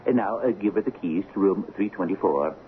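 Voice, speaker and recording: An actor playing a flustered, deferential older hotel manager in a radio drama, hesitant and apologetic. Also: male voice, low-pitched, a little fast, natural voice. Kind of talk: 1970s radio drama acting. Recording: lo-fi old radio broadcast, faint noise.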